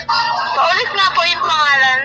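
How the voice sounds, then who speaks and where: A woman's voice: very high-pitched, moderate pace, a crowd in the background. A Filipino woman answering a phone call with brief, puzzled questions, sounding distant on the line. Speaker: prank call recipient. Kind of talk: casual phone conversation. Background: music.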